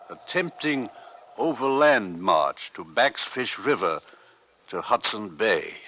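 An actor playing a stern, steady captain of an icebound nineteenth-century ship, speaking with measured command in a radio play. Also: male voice, sits low, full character voice. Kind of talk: old-time radio drama acting. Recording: muffled, noisy vintage radio recording.

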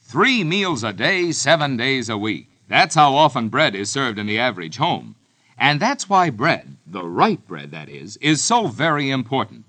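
A man's voice: mid-range voice, very animated, measured pace, sharp tone. A man announcer reading a radio bread commercial in an earnest, emphatic sales voice. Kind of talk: scripted radio ad read.

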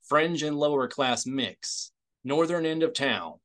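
A man playing a brisk, efficient male military aide reporting in an audiobook. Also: a little high, expressive, fairly bright tone. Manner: brisk, clipped.

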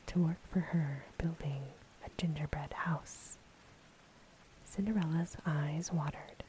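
A woman reading a story in a soft, breathy ASMR whisper, with a gentle, unhurried delivery. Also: low-pitched, slight background noise, low-quality recording. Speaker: bedtime story narrator.

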